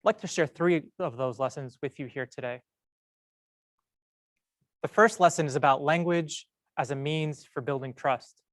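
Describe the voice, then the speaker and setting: A young man tells a prepared story in a measured, earnest way, slowing down and pausing to stress key words. His voice sits a little high for a man. The sound is close and dry, with almost no room echo. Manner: measured, earnest delivery with slow emphatic pauses. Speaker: medical student speaker.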